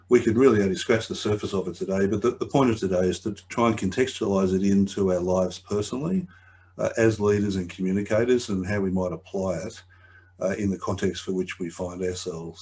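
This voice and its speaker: A middle-aged man giving a webinar talk over a video call, slightly croaky, hesitant, often repeating his words. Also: low-pitched, a little fast, darkish tone, slight background noise. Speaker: webinar presenter. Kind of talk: conversational presentation.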